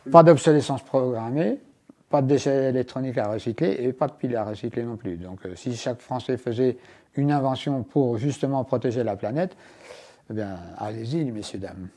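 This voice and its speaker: Mellow-voiced man explaining step by step. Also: mid-range voice, brisk. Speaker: inventor and guest demonstrating his product. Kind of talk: hands-on product demonstration in an interview.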